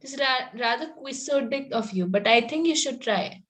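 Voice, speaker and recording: A woman's voice: sits high, animated, moderate pace, fairly bright tone. A woman reading the part of a prim, coy young Victorian girl in an amateur table read, a little hesitantly.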